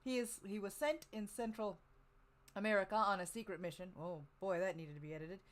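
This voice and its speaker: A woman's voice: medium pitch, fairly quick, crowd noise. A woman hosting a panel show, reading introductions and chatting casually between segments. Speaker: podcast host.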